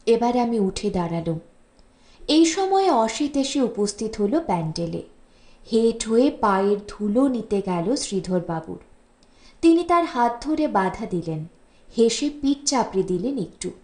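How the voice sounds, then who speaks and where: Higher-voiced woman narrator in an echoey room. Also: big pitch swings, unhurried, dark tone. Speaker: audiobook narrator. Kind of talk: expressive literary story narration. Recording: roomy, echoing voice recording.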